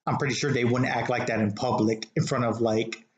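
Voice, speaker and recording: A man with a mid-pitched voice tells stories in a casual, meandering way, often stopping and restarting with plenty of "like"s. He is recorded indoors in a living room, with noticeable room echo around his voice. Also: fairly even intonation, highs cut off. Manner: rambling and halting, peppered with "like". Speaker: show host, rideshare driver telling stories. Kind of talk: casual chat, storytelling. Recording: roomy, echoey living room.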